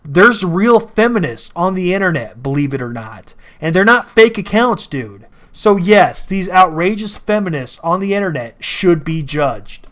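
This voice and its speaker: A man with a voice pitched a little above average gives casual reaction commentary. He talks off the cuff and is blunt and argumentative, with swearing mixed in. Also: big pitch swings, measured pace, few pauses, a bit bright.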